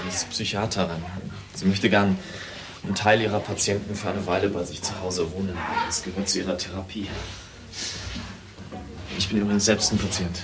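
Male actor for eccentric cyberneticist patient, radio drama. Lowish pitch, talkative, hesitant, confiding; slight background noise. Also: lightly acted. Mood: eager, nervous. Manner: rambling, confiding, hesitant repetitions. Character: eccentric, lonely computer cyberneticist and psychiatric patient, talkative man. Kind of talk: naturalistic radio drama dialogue.

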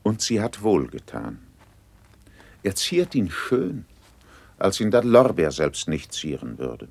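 An actor playing an eloquent, self-assured courtier in a classical verse radio drama, with measured, ornate declamation. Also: male voice, deep voice, huge pitch swings, vocal fry, darkish tone, dry recording, exaggerated voice. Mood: admiring, eloquent.

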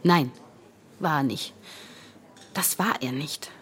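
Grieving young woman, lowish voice, halting, pleading, insistent, naturalistic radio drama. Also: fairly bright tone. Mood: serious, unsettled. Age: young adult.

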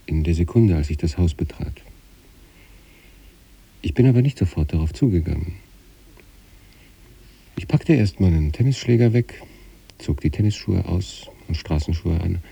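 An actor playing a troubled, detached sanatorium patient who recites letters to his wife in a measured, brooding radio play monologue. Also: low-pitched, creaky, dark tone, slightly rough, full character voice.

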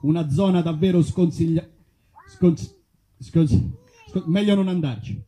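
A man tells a comic detective tale on stage. His voice is very soft-edged and dark, sitting a little high for a man. He delivers absurd wordplay with mock seriousness. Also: measured pace, rough recording. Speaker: puppeteer storyteller performing a comic monologue. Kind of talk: theatrical comic storytelling in mock detective narration. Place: live performance at an outdoor festival.

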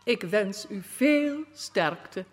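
An actress playing a solemn queen giving a formal, measured address to flood victims in a radio drama. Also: medium pitch, expressive. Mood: solemn, consoling.

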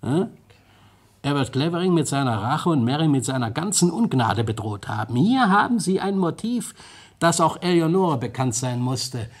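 An actor playing a shrewd, gently mocking police detective in an old German radio crime play, pressing his points with pointed questions. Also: a little high, expressive, normal speed. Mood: insistent, probing. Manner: rhetorical questions, prodding interjections, pointed emphasis. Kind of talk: mid-20th-century German radio crime drama acting.

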